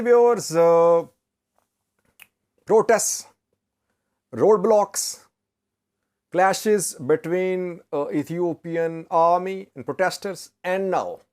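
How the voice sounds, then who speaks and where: A man presenting news commentary for online viewers, speaking haltingly in short, separated phrases. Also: on the high side, darkish tone.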